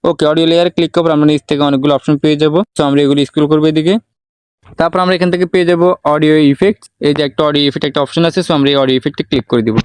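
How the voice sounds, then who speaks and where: A man hosts a software tutorial at a very rapid pace, in a slightly high voice. He repeats his explanations and drops in English words. The sound is dry and close. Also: fairly even intonation, clear, resonant tone, no room sound, poor audio. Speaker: tutorial host. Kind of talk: step-by-step software tutorial narration.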